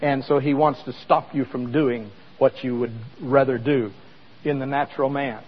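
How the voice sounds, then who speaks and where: A man's voice: medium pitch, fairly even intonation, normal speed, big-room sound, dull audio. A man preaching a sermon in a conversational way, asking the congregation questions as he explains scripture. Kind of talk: sermon with live demonstration.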